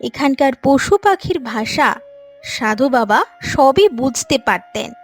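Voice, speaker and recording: A woman's voice: sits high, expressive, takes it slowly, husky. A woman narrating a scripted story as the storyteller. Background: soft music.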